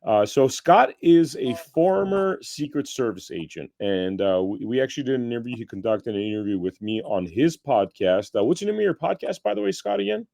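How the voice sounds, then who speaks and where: A man hosts a podcast interview in a mellow, dark voice. He is recorded dry and close, and his talk is hesitant, full of fillers and restarts. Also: mid-range voice, big pitch swings, fairly quick, creaky, few pauses, dry recording. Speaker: podcast host. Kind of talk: casual interview hosting.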